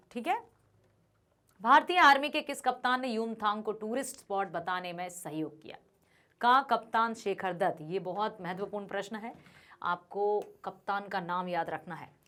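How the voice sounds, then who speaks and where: A woman's voice: medium pitch, pushed and bright. A woman teacher going through multiple-choice exam questions. She reads out each set of options and then explains the answer to her students. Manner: addresses students as children. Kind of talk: exam revision lesson.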